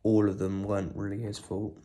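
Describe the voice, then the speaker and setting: A young man with a cold and sore throat, talking quietly and casually about a football match, with lots of ums. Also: lowish voice, monotone, a little fast. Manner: speaks quietly, holding back volume; rambling with many ums. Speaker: football fan vlogger. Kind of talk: casual post-match reaction.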